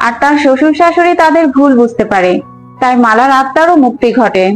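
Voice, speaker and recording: A woman's voice: high-pitched, animated, fairly quick, rough recording. A woman narrating a cartoon fairy tale in scripted storytelling style. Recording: music under the voice.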